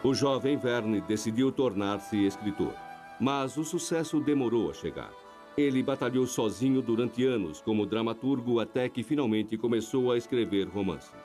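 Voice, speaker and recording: A man reading a scripted documentary narration in a measured, even way. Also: lowish voice, soft background music.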